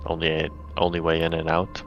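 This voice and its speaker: A man's voice: very deep voice, completely flat delivery, normal speed, faint music behind. A man playing a tabletop game, chatting casually on a lo-fi microphone. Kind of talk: casual chat. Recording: dull lo-fi mic, background noise.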